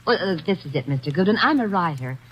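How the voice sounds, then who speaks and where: A breathy, teasing young writer. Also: female voice, on the low side, animated, quick pace. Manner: breezy, teasing, giggly. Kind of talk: 1940s radio acting. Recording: dull, lo-fi vintage radio recording.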